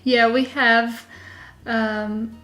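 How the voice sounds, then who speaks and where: A woman from Serbia with a Serbian accent, slightly under the weather, chatting casually and haltingly as she hosts a live tutorial. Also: fairly high pitch, level tone, a bit bright, soft background music. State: slightly unwell with a sore throat. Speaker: digital journaling creator hosting a live stream.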